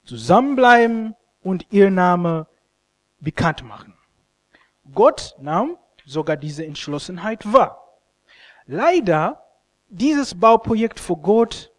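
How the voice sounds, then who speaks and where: A man, a Luganda speaker, preaching a sermon in an expressive, halting way and often stumbling over words. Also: sits high. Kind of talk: sermon with scripture reading and commentary.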